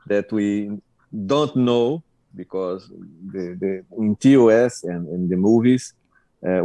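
A man co-hosting a casual podcast chat, speaking haltingly and often repeating his words. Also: on the low side, warm tone, no room sound, poor audio. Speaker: podcast co-host.

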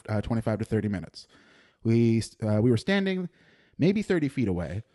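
A young man co-hosting a podcast, reading out a dry, deadpan restaurant review with frequent "uh" restarts. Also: on the low side. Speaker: podcast co-host. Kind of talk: casual chat, reading a written food review aloud.

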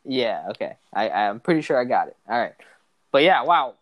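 A young man from Los Angeles hosting a podcast, chatting casually in an excitable, slangy way. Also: mid-range voice, moderate pace. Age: young adult. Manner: excitable, slangy, says bro and dude a lot. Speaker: podcast host. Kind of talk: casual chat.

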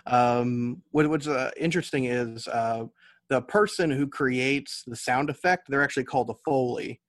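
A man's voice: mid-range voice, normal speed, no room sound. American man explaining in an interview, dry close sound. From United States. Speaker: podcast guest, novelist and audio drama writer. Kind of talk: conversational interview, explaining his craft.

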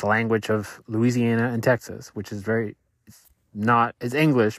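Low-voiced man, Spanish native, musing. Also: dry recording, studio quality. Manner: thoughtful, halting, restarts often. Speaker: podcaster reflecting on his week. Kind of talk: casual reflective monologue. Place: Louisiana.

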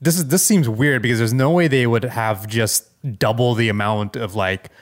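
Mid-pitched man in casual chat. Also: normal speed. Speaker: podcast co-host.

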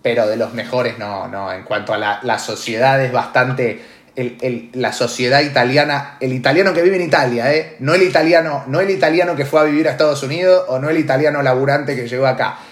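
A man's voice: mid-range voice, brisk. A man with an Argentine Spanish accent giving opinions in casual talk, emphatic and repeating phrases for stress. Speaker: podcast host. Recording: echoey room.

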